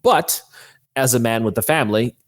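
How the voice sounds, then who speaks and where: A man's voice: medium pitch, moderate pace, no echo. Man, guest author, earnest and explanatory, conversational, close dry sound. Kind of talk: conversational interview.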